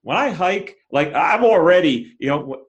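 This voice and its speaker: Lively, rambling male podcast host. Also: on the high side, unhurried, muffled recording. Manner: conversational, rambling with many restarts. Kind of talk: casual podcast chat.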